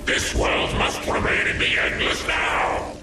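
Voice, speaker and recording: A man's voice: takes it slowly, far from the mic, natural voice. A man playing a menacing godlike game villain who makes grandiose, ominous declarations about an unchanging world. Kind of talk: dramatic video game cutscene acting. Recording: echoing voice under loud music.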